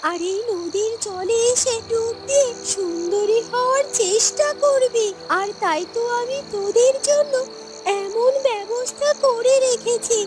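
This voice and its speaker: A kindly but stern river fairy from a fairy tale cartoon, played by a woman. The voice is extremely high and speaks in deliberate, formal lines. Music plays clearly behind it.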